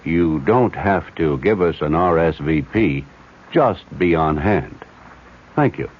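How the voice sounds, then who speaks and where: A man's voice: low-pitched, lively intonation, slow, background noise. A man delivering a chatty, genteel radio promo with some hesitant ad-libbing, on a dull 1970s broadcast. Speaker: radio host.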